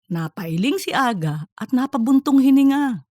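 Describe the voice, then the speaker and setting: A woman's voice: high voice, expressive, moderate pace, mellow, dry recording, studio quality. A woman reading scripted narration for a comedic audiobook story.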